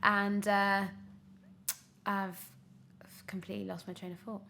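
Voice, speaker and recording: A woman's voice: medium pitch, little pitch movement, a little slow, creaky, noisy background. A young woman speaking candidly and casually as an interview guest, thinking aloud in a hesitant, reflective way. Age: young adult. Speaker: podcast guest, actress.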